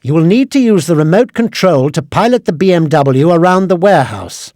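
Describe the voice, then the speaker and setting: An actor playing an exasperated older British gadget quartermaster in a video game, formal, precise and scolding. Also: male voice, fairly high pitch, very animated, brisk, a little mellow, dry recording, studio quality. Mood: officious briefing. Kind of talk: video game mission briefing.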